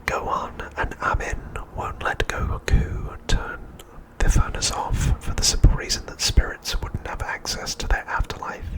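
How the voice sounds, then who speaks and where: A man's voice: a little slow, few pauses, fairly bright tone, poor audio. Whispering man, ASMR narration. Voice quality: unvoiced, breathy whisper. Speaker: ASMR host.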